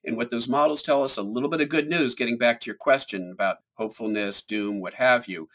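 Husky man explaining science calmly. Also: medium pitch. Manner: measured, explanatory, emphatic on key points. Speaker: climate scientist guest. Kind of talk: explanatory interview answers.

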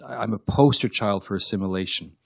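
A man's voice: lowish voice, a little fast, creaky, husky, dry recording. A Quebec-born novelist with French as his first language, talking thoughtfully in a radio interview with frequent restarts. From Quebec, Canada. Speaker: novelist guest.

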